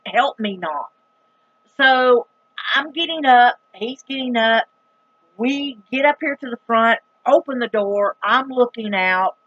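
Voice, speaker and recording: A woman's voice: fairly high pitch, fairly bright tone, no room sound, muffled recording. A middle-aged woman with a Southern US accent telling a story to her viewers in a casual, dramatic, emphatic and plain-spoken folksy way. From rural United States. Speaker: vlogger.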